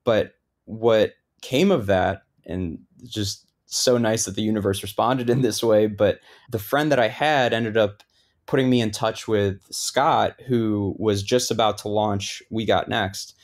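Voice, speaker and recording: Man, lebanese roots, low voice, relaxed, loose storytelling, lots of filler words. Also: unhurried. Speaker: guest, thru-hiker. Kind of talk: casual interview storytelling.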